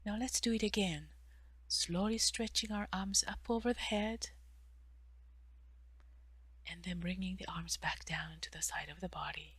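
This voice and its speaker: A woman guiding a mindful stretching meditation in a soft, breathy voice, giving gentle, measured instructions with pauses and phrases that often fade toward a whisper. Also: fairly low pitch, steady tone, no room sound. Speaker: meditation guide, therapist.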